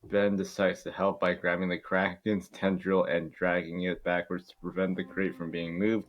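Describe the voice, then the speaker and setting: A man's voice: deep voice, level tone, normal speed, highs cut off. A man reading stage directions as narrator in an informal amateur script reading.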